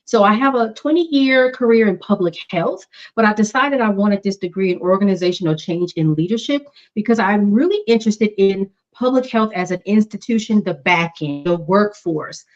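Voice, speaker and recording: A middle-aged woman from Southern California, a public health guest on a video call from a home office, chatty and lively. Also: medium pitch, moderate pace, hardly any pauses. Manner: chatty, lively, self-aware. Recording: dull video call audio.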